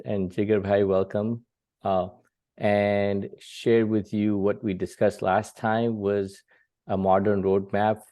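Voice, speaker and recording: A man hosting an informal religious class, talking in short, halting phrases. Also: low voice, warm tone, no echo. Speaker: session host.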